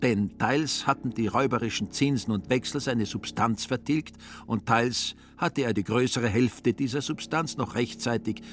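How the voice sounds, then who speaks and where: A man's voice: mid-range voice, moderate pace, few pauses, a little hiss or hum. A man narrating a literary radio play in a dry, ironic storytelling manner. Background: music.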